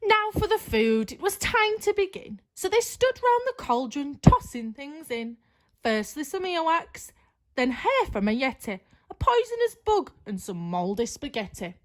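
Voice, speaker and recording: A woman's voice: sits high, big pitch swings, a little slow. A woman reading a rhyming children's story aloud, expressively and with drama.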